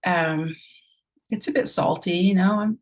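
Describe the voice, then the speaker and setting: Low, even-voiced woman, slightly husky. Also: unhurried. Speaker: guest poet. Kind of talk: conversational interview answers.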